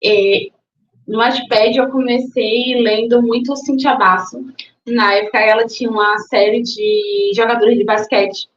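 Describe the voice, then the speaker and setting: A woman's voice: fairly high pitch, fairly even intonation, a little slow, a little hiss or hum. Young woman from Salvador, thoughtful guest. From Salvador, Bahia, Brazil. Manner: thoughtful, with hesitations and restarts. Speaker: guest, book influencer. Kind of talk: casual interview answers. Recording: echoey, dull lo-fi recording.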